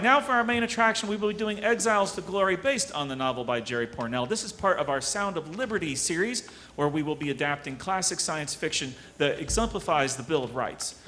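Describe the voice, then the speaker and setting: A man's voice: on the high side, bright, forceful tone. A man announcing to an audience as emcee of a live radio theatre show. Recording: live stage recording with background noise.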